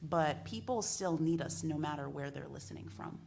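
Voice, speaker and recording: American woman on a radio panel, kinda low voice, earnest, repeats stuff to make a point. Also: normal speed, vocal fry, darkish tone, a little hiss or hum. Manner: emphatic, earnest, repeats phrases for effect. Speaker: community radio broadcaster, panelist. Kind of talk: panel discussion talk, animated storytelling.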